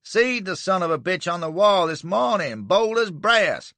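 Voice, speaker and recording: A man's voice: sits high, measured pace, dry recording, pitched above the performer's own voice, exaggerated voice. A man doing a bawling Southern American blowhard. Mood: bellowing. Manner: bawling, excitable.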